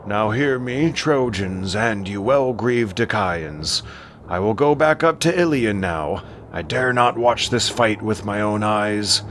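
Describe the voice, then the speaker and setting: Man voicing a slow, solemn ancient king. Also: lowish voice, lively intonation, fairly bright tone, a little hiss or hum. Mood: grave. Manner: measured, declamatory. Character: solemn ancient king praying and proclaiming to gods. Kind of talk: verse audio drama declamation.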